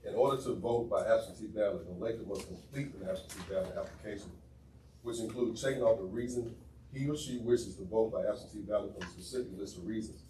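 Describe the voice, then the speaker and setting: A man, a legislator, reads out his bill's synopsis at a brisk, steady pace. His voice is low and mellow in tone. He is recorded at a distance in a reverberant room, with faint background noise. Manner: quick, steady reading. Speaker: bill sponsor. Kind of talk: reading a bill synopsis. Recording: echoey room, distant mic.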